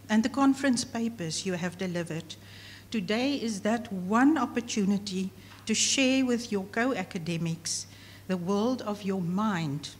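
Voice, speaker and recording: A middle-aged woman whose first language is Afrikaans, giving a formal academic lecture from a prepared text at a podium. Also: mid-range voice. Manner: measured, read from a prepared text at a lectern. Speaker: professor giving inaugural lecture. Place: large hall, at a podium. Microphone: podium microphone. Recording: long hall reverb, faint room noise.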